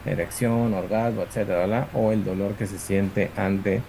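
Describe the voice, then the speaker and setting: Low gravelly man giving an online lecture. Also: little pitch movement, moderate pace, mellow, a little hiss or hum. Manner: explanatory, many fillers and tag questions. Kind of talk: online class lecture with screen share. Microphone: computer mic on video call.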